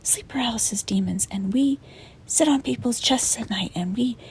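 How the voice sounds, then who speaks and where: A woman playing a reluctant, apologetic sleep paralysis demon in a soft, hesitant, breathy ASMR whisper. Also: fairly high pitch, normal speed. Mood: nervous, wistful. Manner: hesitant, rambling, soft-spoken. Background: rain and wind.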